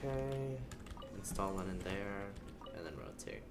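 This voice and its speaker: A man's voice: deep voice, huge pitch swings, unhurried, vocal fry, background noise. A man livestreaming a game, reading text aloud and adding casual, playfully sarcastic commentary. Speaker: game streamer.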